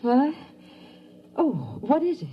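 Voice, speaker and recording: A woman playing an embarrassed, bewildered young lab technician in an old-time radio science fiction drama, halting and breath-catching. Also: high-pitched, huge pitch swings, lo-fi sound, exaggerated voice. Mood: drowsy, confused. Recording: noisy vintage radio drama recording.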